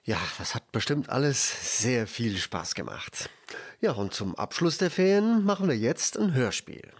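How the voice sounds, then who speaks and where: A man's voice: medium pitch, very animated, measured pace. A man presenting on the radio, moderating and announcing what comes next.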